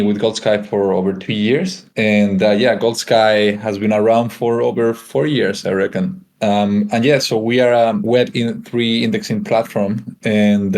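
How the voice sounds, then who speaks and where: Low-voiced man from Spain, loose and filler-heavy. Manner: relaxed, many fillers and restarts. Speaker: guest solutions engineer. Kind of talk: casual technical presentation.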